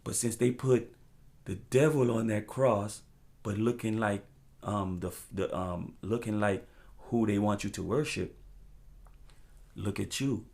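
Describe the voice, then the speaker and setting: A man's voice: fairly low pitch, fairly even intonation, measured pace, gravelly, long pauses, darkish tone. A man from the United States delivering an impassioned, freestyle monologue as a talk show host, preaching in profane street slang with emphatic repetition and loose rhymes.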